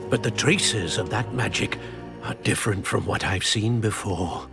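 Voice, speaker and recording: A man playing a wise, cautious wizard professor with gentle, formal, measured phrasing in a dramatic video game. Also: lowish voice, creaky. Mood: grave, alarmed. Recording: orchestral music behind the voice.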